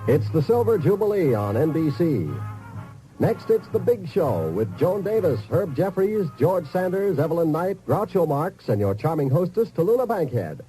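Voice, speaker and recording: Mellow, lively 1950s radio promo man. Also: a little high, moderate pace, soft background music, poor audio. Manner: polished, scripted delivery. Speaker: network promo announcer. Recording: old 1950s radio broadcast recording.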